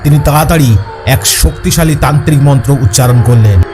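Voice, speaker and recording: A man's voice: medium pitch, brisk, music in the background. A man reading scripted ghost story narration as a storyteller.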